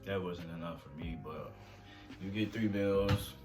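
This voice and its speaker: A man's voice: low voice, fairly even intonation, measured pace, a little mellow, soft background music. A young man co-hosting a vlog, talking about basic training in a laid-back, profane, matter-of-fact way. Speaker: vlog co-host, army veteran. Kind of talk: casual conversational chat.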